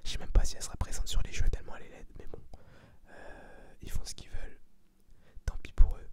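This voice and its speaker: An eighteen-year-old man gives an informal ASMR review in a breathy whisper, slangy, sweary and blunt. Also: fast talker. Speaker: ASMR creator reviewing a film.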